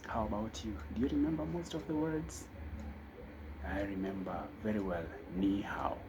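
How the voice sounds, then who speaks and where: A man teaching a casual spoken lesson, talking slowly and thinking aloud with fillers. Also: on the low side, lo-fi sound, background noise. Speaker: language teacher.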